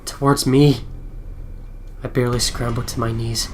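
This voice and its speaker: A man's voice: medium pitch, level tone, moderate pace, noisy background. A man hosting a let's play, reading visual novel narration aloud in a dramatic storytelling manner.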